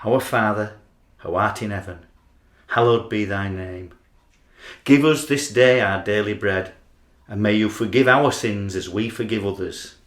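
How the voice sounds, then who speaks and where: A man's voice: on the low side, unhurried, fairly bright tone. A man playing an earnest, obsessive rugby fan in a radio play, dramatic and aggrieved. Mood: praying. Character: earnest, obsessive male rugby league fan, trainee social worker. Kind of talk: radio play monologue and dialogue. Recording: roomy amateur recording, faint background noise.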